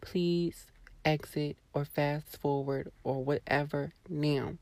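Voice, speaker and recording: Hoarse, sassy woman vlogger. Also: sits low, steady tone, slow pace, mellow, dry recording. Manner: sassy, blunt, swears freely, talks straight to viewers. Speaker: YouTuber. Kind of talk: casual vlog rant to camera.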